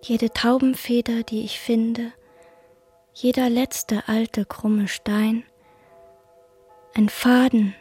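A woman playing a tender figure reciting poetry in a radio drama, in a breathy, half-whispered voice. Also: on the high side, a little mellow, heavily acted. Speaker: radio play actress. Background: faint music.

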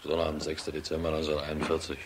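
An actor playing a dutiful military aide in a radio play, reporting in a clipped, deferential way. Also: male voice, very deep voice, completely flat delivery, rapid-fire, noisy background.

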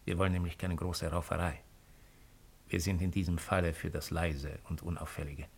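An actor playing a cold, calculating Roman imperial agent in a radio drama, speaking in a measured, insinuating way. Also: male voice, low-pitched, flat delivery, husky. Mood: insinuating, calm. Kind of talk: 1950s German radio drama acting.

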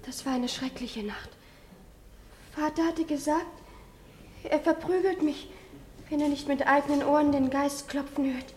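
A woman playing a frightened, neglected young girl who testifies haltingly in an old German radio drama. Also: sits high, fairly even intonation, darkish tone. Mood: frightened, hushed. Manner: halting, repeating herself. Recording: hissy 1950s radio drama recording.

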